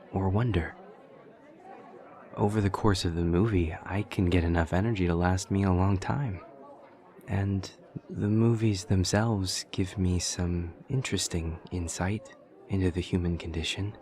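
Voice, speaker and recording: An awkward young demon student acted out by a man in an audio roleplay, with a low voice. He talks in stilted, formal phrases, taking everything literally with earnest curiosity. A faint crowd murmur sits behind him. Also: darkish tone. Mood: earnest, thoughtful. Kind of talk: roleplay audio drama acting.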